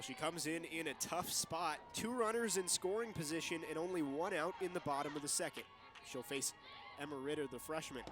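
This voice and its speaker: Young man calling softball, higher-pitched voice. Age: young adult. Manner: clipped, present-tense calls. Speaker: play-by-play commentator. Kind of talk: live softball play-by-play commentary.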